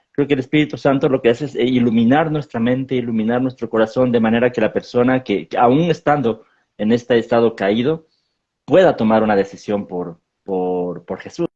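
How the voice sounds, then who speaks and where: Measured pastor on dull call audio. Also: male voice, mid-range voice, a little mellow. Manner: measured, explanatory, with many repeated words. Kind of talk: panel discussion on theology.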